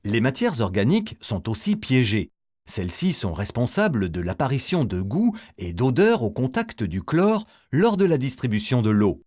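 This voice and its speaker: A man's voice: medium pitch, huge pitch swings, moderate pace, darkish tone. A man reading a scripted educational narration as the narrator of an explainer film, setting things out in a didactic, step-by-step way.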